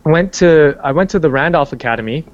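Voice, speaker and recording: A man's voice: lively intonation, fairly quick. A man, an actor being interviewed, talking casually in a rambling way with lots of fillers and restarts. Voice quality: bass singing voice. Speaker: stage and screen actor, interview guest. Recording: dull lo-fi sound.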